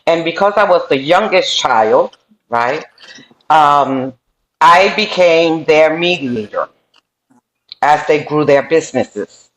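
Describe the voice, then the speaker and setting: A woman's voice: deep voice, animated, takes it slowly, pauses often, a bit bright. A middle-aged woman who grew up in New York and speaks Spanish first, with a Spanish and New York accent. She is a podcast guest telling her life story earnestly, with frequent ums and restarts. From New York City. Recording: roomy echoey room sound.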